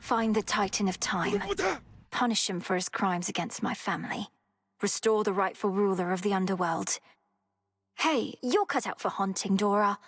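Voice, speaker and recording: A determined young princess witch of the underworld acted by a woman for a game, formal and measured. The voice is slightly husky and carries a long reverb tail. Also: medium pitch, close to an everyday voice. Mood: resolute. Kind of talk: video game voice acting, formal diction. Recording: long reverberant hall effect.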